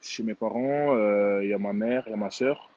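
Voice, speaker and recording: A man's voice: on the low side, moderate pace, darkish tone. Casual student in his twenties, dull audio. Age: 20s. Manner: casual, trailing off, many fillers. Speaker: student worker. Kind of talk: personal testimony.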